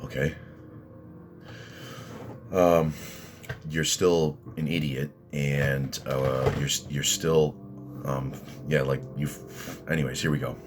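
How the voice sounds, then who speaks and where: A man with a deep voice, ranting at his critics in rambling, combative commentary full of restarts and fillers. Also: steady tone, slow, pauses often, over music. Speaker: vlogger answering critics.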